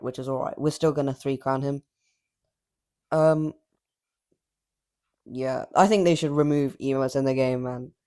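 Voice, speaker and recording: Guy narrating his mobile game, cocky and a bit raspy from staying up late, rambles and trash talks. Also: medium pitch, darkish tone. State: slightly raspy from filming late at night. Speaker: gameplay commentator. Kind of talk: casual mobile game commentary.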